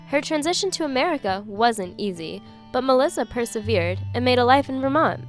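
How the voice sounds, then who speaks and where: Female narrator, fairly high voice, measured scripted read, faint music under it. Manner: even, measured delivery.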